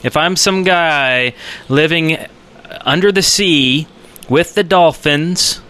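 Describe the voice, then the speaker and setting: A man's voice: a little high, slow, pushed and bright. A man bantering jokily as a podcast co-host. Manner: jokey. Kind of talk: casual banter.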